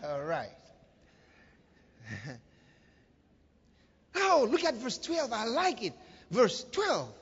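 High-pitched, animated male preacher in a hall. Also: very slow, pauses often, slight background noise. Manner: dramatic, emphatic, repeats phrases to drive them home; engages the congregation with questions and humour. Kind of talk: animated sermon with scripture reading. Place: large reverberant church hall, preaching to a congregation. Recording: muffled, hall with long reverb.

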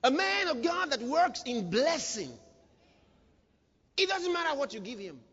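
A man's voice: very high-pitched, very animated, unhurried, a bit bright. A man preaching a dramatic, emphatic sermon to a congregation, reading scripture and driving phrases home through repetition. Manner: engages the congregation with questions and humour. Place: large reverberant church hall, preaching to a congregation. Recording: muffled, hall with long reverb.

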